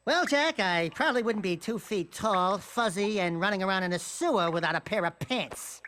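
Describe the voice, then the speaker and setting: High, swooping cocky little critter. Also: male voice, animated, moderate pace, pushed and bright, slight background noise. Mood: sarcastic. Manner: brash, theatrical bragging. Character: cocky two-foot furry animal sidekick, wisecracking, boastful. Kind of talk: cartoon-like video game voice acting.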